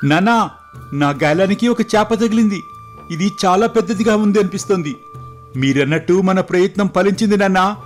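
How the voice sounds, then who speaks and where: A man voicing an eager, impatient young prince, earnest and excitable, in a storybook tale. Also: high voice, normal speed, soft background music, voice pushed up, natural voice. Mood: excited, grateful. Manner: earnest, excitable, respectful to father. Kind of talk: scripted moral story dialogue.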